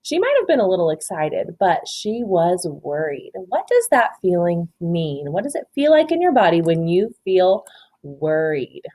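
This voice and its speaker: A woman reading a children's story aloud expressively, pausing to ask simple questions and encourage answers. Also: fairly low pitch, very animated, warm tone.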